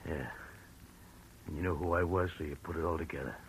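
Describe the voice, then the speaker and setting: A man's voice: rumbling low, steady tone, a little fast. An actor playing a defeated, lovesick young man on the run in 1940s radio drama style. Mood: resigned. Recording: noisy old radio recording.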